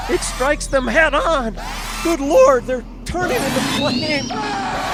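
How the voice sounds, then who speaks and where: A high-voiced, frantic on-the-spot newsman, old radio drama, hissy recording. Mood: panicked. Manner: breathless eyewitness narration. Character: male radio reporter at a crash site, panicking. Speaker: field reporter. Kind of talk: 1930s radio drama acting.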